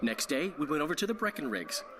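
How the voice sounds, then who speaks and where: Chatty florid bard, higher voice, really animated, noisy ambience, fantasy game. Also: male voice, quick pace. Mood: animated, gossipy. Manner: animated, dramatic storyteller. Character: excitable, gossipy, florid adult male bard. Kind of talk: dramatic game dialogue.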